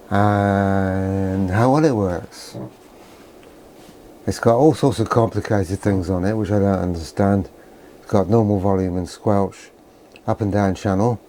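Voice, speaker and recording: Low, mellow older British man, dryly grumbling. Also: a little hiss or hum. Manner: dry, grumbling, sardonic. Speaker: presenter of a vintage radio video. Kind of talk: rambling unscripted vlog commentary.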